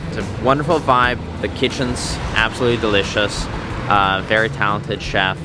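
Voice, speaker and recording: A man's voice: on the low side, steady tone, moderate pace, music in the background. A man in a casual interview, easygoing, with a dry sense of humor. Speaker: brother and co-director.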